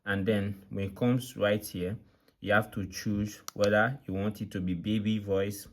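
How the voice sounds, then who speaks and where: A man's voice: on the low side, level tone, measured pace, a little hiss or hum. A man presenting an unscripted product demonstration, explaining step by step with repetition and often checking that viewers follow. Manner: frequently checks understanding with short questions. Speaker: tutorial presenter.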